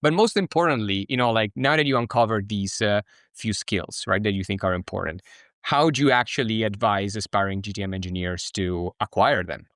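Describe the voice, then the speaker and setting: A man from Spain hosting a conversational interview, speaking in halting phrases with frequent repeats. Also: on the low side, a bit bright, no echo, studio quality. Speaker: podcast host.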